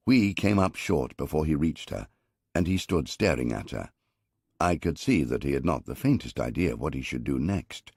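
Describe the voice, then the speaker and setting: A man with a very deep, gravelly voice reads an audiobook in the first person. His delivery is measured and wry, with lively rises and falls in pitch, recorded dry and close with a husky edge. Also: no room sound. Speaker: audiobook narrator.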